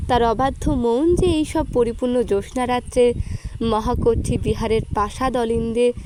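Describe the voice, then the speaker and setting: High-voiced woman reading a literary story. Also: a little mellow. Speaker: audio story reader. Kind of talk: scripted literary narration.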